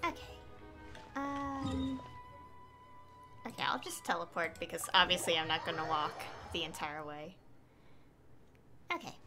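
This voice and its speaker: A woman's voice: on the low side, measured pace, pauses often. A woman doing casual live gameplay commentary, thinking aloud in a self-amused way. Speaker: game streamer. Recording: faint game music behind.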